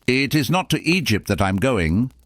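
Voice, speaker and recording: A man voicing a devoted, fragile little male swallow in a storybook, soft and earnest. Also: medium pitch, very animated, moderate pace, no echo, pitched above the performer's own voice. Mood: loving, resigned.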